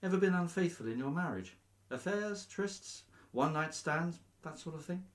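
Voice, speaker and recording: A male actor playing a breezy, nosy, officious vicar in a radio comedy, briskly polite and openly biased. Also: fairly high pitch, lively intonation, close to an everyday voice. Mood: probing. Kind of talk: radio play comedy acting.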